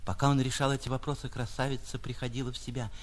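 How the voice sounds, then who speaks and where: A man, an actor reading a literary short story in an expressive, mock-grandiose theatrical style. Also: medium pitch, fast, a little hiss or hum. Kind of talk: theatrical literary audiobook narration for radio.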